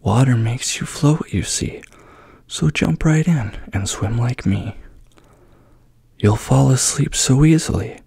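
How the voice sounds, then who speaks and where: A man gently voices an assured, helpful fish from a bedtime storybook. The voice is soft, persuasive and husky. It moves slowly, in a hushed ASMR style. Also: medium pitch, expressive, no echo, exaggerated voice. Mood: assured, inviting.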